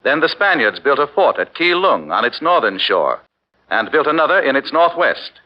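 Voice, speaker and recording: A man's voice: mid-range voice, very animated, moderate pace. A man narrating a 1940s radio documentary drama in a dramatic, declaiming manner. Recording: dull 1940s radio recording with music.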